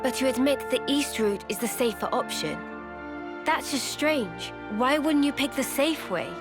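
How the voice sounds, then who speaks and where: A woman's voice: high-pitched, animated, measured pace, music in the background. A woman playing a level-headed, assertive young fighter in a video game, speaking directly. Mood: challenging. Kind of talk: video game cutscene acting.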